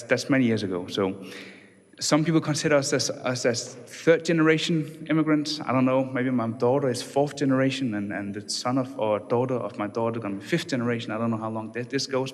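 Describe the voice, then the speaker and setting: A young adult man from Denmark, a doctoral researcher giving a relaxed, conversational conference talk with many fillers and restarts. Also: mid-range voice. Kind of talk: conference presentation. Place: church hall. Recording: long reverb of a church hall.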